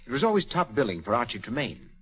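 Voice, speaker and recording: Deferential, candid valet for vintage radio mystery; husky voice with very lively, animated intonation. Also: male voice, medium pitch, fast talker, exaggerated voice. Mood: frank. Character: polite, reluctant, outspoken male valet. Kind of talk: 1940s radio mystery acting. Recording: muffled vintage 1940s radio recording.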